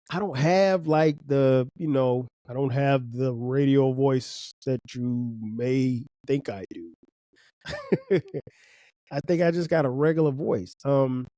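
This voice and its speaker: A man's voice: medium pitch, mellow, no room sound, clean studio sound. A man from South Carolina with a South Carolina accent and a slightly nasal voice, rambling casually through a solo podcast with lots of fillers and restarts. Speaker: podcast host.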